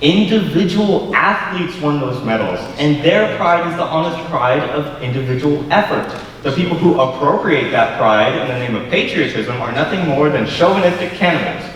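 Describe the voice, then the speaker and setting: A young man acting a contrary, cynical drunken poet in a high school stage play, speaking in a deliberate, articulate, argumentative way. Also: animated, a bit bright. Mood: defiant, lecturing. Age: young adult. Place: large school auditorium stage. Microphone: far camera. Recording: distant, echoey auditorium with faint noise.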